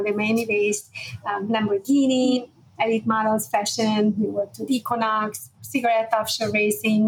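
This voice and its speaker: Flat-voiced woman from Hungary. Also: medium pitch, a little hiss or hum, low-quality recording. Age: 40s. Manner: brisk, many "you know" and "um" fillers. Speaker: guest, fashion entrepreneur. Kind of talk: interview storytelling.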